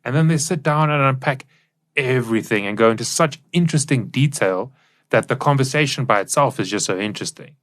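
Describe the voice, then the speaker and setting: A man's voice: lowish voice, a bit bright. A man co-hosting a podcast, explaining his opinions in a casual, conversational way and asking questions. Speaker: podcast co-host and interviewer.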